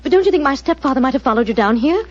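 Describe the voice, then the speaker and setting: A woman's voice: high voice, animated, rapid-fire. An actress playing a nervous, frightened young woman in an old radio drama, on a muffled old recording. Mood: anxious. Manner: nervous, hesitant. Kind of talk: 1940s radio acting.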